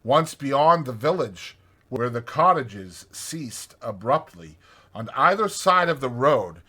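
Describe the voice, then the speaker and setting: A male audiobook narrator reads literary prose with deliberate, expressive phrasing. His voice is bright and forceful with a husky grain, and his pitch moves in lively swings. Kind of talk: dramatized literary storybook narration.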